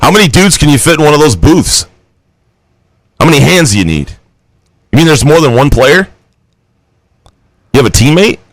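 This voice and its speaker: A man's voice: a little high, very animated, moderate pace, pauses often, no room sound, rough recording. A man co-hosting a sports talk show, teasing his partner sarcastically and reading sponsor ads. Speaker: sports talk co-host and ad reader. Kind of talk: casual banter and ad reads.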